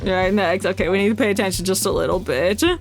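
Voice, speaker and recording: A woman's voice: mid-range voice, fast talker, creaky. A woman giving casual, rambling gameplay commentary, half muttering to herself as she plays. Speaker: game streamer. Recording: loud game music behind. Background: game music and combat sounds.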